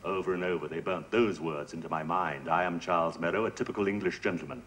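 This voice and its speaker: An actor playing a suave, cold spy who questions and explains in a smooth, clipped way, in a 1970s TV drama. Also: male voice, low-pitched, fast, fairly bright tone. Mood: explaining.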